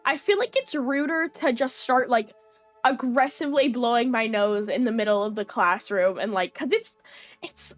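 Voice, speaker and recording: A woman's voice: high voice, fairly bright tone. A young woman streamer chatting casually with her viewers, her voice nasal and stuffy from a runny nose, full of fillers and giggles. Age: young adult.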